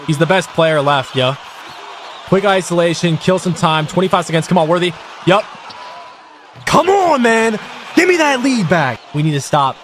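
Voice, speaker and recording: A man giving casual, excited gameplay commentary in a slangy, exclamatory way. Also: sits high, normal speed, pauses often, a little hiss or hum. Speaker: gaming video host, player.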